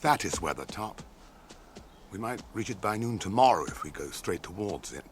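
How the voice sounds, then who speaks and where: An actor in a radio drama playing a grave, wary, weathered ranger guide who speaks in a measured, formal way. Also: male voice, lowish voice, expressive, slight background noise, lightly acted.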